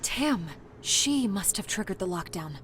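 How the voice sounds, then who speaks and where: A woman's voice: medium pitch, lively intonation, measured pace, a bit bright, a little hiss or hum. An actress playing a determined, wary woman scientist stranded on an alien world in a video game, often musing breathily to herself. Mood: curious, focused. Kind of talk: video game cutscene acting. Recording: music behind the voice.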